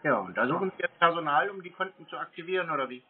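A husky-voiced man talks quickly in short, deadpan replies. It is a casual prank phone chat, heard over a thin line. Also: a little high. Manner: deadpan, terse. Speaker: prank host answering scam calls. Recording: tinny narrowband phone line.

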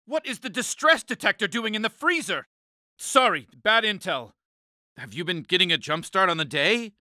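A man voicing a grumpy, uptight, pompous alien spaceship leader in an animated cartoon game, exasperated and emphatic. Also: sits high, normal speed, dry recording, studio quality, exaggerated voice. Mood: irritated, brisk.

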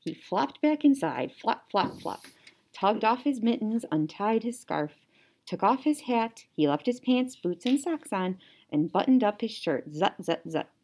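A young woman reading a children's story like a teacher, animated, with playful sound words. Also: medium pitch, measured pace. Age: young adult. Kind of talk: children's story read-aloud. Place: small indoor classroom or office.

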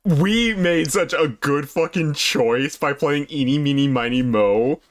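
A man gives casual, sweary reactions to a game he is playing with friends. His pace is slow and unhurried. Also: on the high side. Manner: informal, sweary. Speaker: gaming video host.

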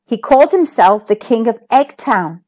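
A woman reading a children's story aloud expressively, as the host of a storytime. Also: a little high. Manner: animated, deliberate.

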